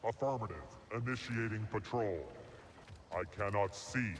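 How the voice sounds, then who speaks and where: A man's voice: on the low side, normal speed, long reverb tail, slight background noise. A man voicing a dutiful, literal-minded battle droid in a video game, stiff and formal through a robot filter. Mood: stern, deadpan. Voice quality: electronic, metallic. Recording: faint game ambience behind.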